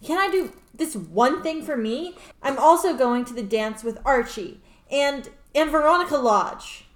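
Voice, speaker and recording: A woman's voice: high voice, lively intonation, a little slow. A woman playing an earnest, perfectionist teenage girl in an amateur radio play. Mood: defiant, hurt. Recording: roomy, echoing room.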